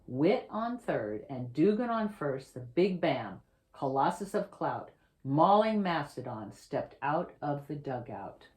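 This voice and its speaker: Female read-aloud storyteller for a kids' picture book: low voice, unhurried pace, dramatic emphasis. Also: a little mellow. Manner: theatrical, emphatic, drawn-out suspenseful phrasing. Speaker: children's author reading own picture book aloud.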